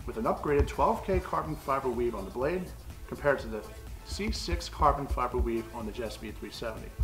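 A man walking through hockey gear specs in a mid-pitched, even voice, one feature after another. Soft music sits in the background. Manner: listing specs methodically. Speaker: store staff presenting gear. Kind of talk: product rundown. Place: hockey gear store, indoors.

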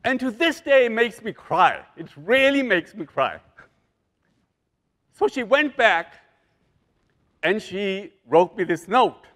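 Older man giving a lively keynote. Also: sits high, slow, long pauses. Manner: animated, joking, preacher-like emphasis. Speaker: keynote speaker. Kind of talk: motivational keynote speech. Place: large conference hall on stage. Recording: long hall reverb.

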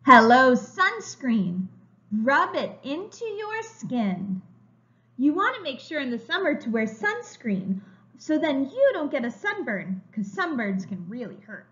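A woman preschool teacher reading a children's story aloud, expressive and emphatic, as if to young children. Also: high voice, measured pace. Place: room at home, indoors. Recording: slightly dull, lo-fi webcam sound.

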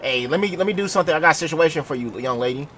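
A quick-talking man with a slightly high voice plays gangster game roleplay. He is pushy and profane, leaning on street slang and repetition, over a noisy voice chat. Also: expressive, a bit bright.

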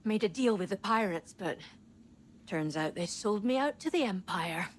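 An actress playing a guarded, practical fugitive engineer in a video game, speaking tersely and directly. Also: female voice, mid-range voice, animated, normal speed, slight background noise, natural voice. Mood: guarded relief. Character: woman rebel fugitive, self-reliant engineer, guarded and practical.

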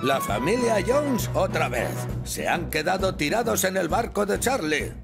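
A commanding fire station chief in a kids' cartoon. His voice swings widely in pitch, sitting fairly high, with brisk authority. Music plays clearly behind him. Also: big pitch swings, exaggerated voice. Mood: brisk, firm. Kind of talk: dubbed children's cartoon acting.

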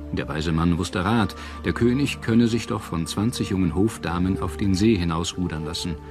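A male narrator with a very low voice delivers a scripted documentary. His phrasing is measured and articulate, like a storyteller's. Music plays clearly behind him. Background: background music.

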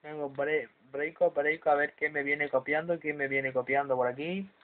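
A man's voice: on the high side, level tone, normal speed. A man making repeated call-outs as a radio operator. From Spain. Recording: tinny radio sound, very loud static.

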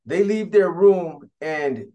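A middle-aged man with a Californian accent tells a street crime story in a loose, slangy way, talking right to his viewers. His voice sits a touch high for a man. He is recorded on a webcam at his desk in a small room at home. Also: slow pace, mellow, lo-fi sound. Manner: streetwise, conversational, slangy, addresses viewers directly. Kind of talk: casual true crime storytelling.

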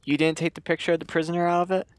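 A man's voice: a little high, level tone, very fast, smooth, dry recording, natural voice. A man playing an earnest, uneasy suburban husband in a radio play, talking in a hesitant, conversational way. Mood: uneasy probing.

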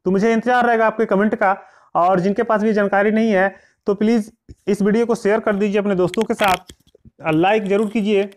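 A man's voice: high voice, steady tone, fairly quick. A man hosts an informal online news update. He addresses viewers directly in an explanatory way, sometimes slipping into reading aloud. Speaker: YouTube channel host.

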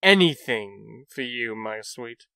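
A man's voice: mid-range voice, expressive, slow pace, bright, forceful tone, smooth, no echo, exaggerated voice. A man voicing a cocky, blustering young soldier in a comic book dub, with a theatrical, exasperated manner. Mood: smug.

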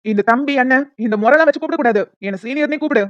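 Cartoon college bully, female performer: higher voice, cocky, mocking, slangy flirt. Dry, muffled lo-fi recording. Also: rapid-fire, dry recording, natural voice. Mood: bossy, teasing. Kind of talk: cartoon story dialogue in colloquial Tamil slang.

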